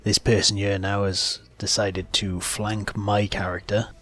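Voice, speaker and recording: A man's voice: fairly low pitch, little pitch movement, a little slow, a bit bright. A man hosting a gaming video, giving plain step-by-step explanatory commentary on the gameplay. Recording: faint game music behind voice. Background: game soundtrack.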